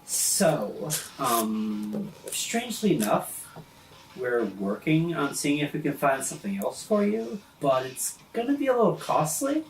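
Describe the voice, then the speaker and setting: A man playing a firm, bargaining high school student in a tabletop game, recorded off-mic in a roomy space. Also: very animated, a little slow, distant mic. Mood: cautious bargaining. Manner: firm, negotiating. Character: determined high school kid bargaining with a bully. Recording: roomy, off-mic, faint background noise.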